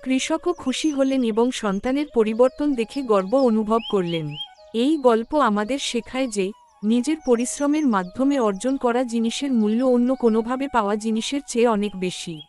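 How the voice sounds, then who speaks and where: A woman's voice: a little high, normal speed, darkish tone, faint music behind. A woman storyteller reading a scripted moral tale for a cartoon.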